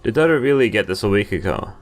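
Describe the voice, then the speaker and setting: A young man reading game text aloud and commenting as he plays, with amused, halting asides. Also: fairly low pitch, sing-song. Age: young adult. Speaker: video game player and commentator. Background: game music.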